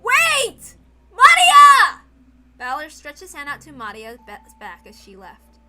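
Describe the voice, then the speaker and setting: Female narrator for dramatic visual novel reading: bright, very lively pitch, measured pace. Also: soft background music. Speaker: narrator, story reader.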